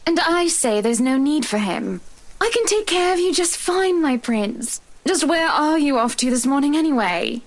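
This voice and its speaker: Spoilt socialite wife for a visual novel, very high voice, cooing yet imperious and possessive. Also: female voice, animated, normal speed. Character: wealthy, possessive, clingy young British socialite wife.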